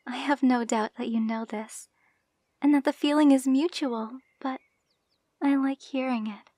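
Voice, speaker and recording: A woman's voice: high-pitched, fairly even intonation, a little slow, dark tone, no echo. A woman playing an affectionate, playful young bride in an intimate ASMR roleplay, speaking tenderly with a giggly, teasing manner. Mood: intimate, loving.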